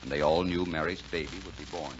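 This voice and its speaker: A man's voice: rumbling low, steady tone, quick pace, background noise. An actor playing a plain-spoken, reassuring American man telling a Christmas story in 1940s radio drama style. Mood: measured storytelling. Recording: dull 1940s radio broadcast, music behind.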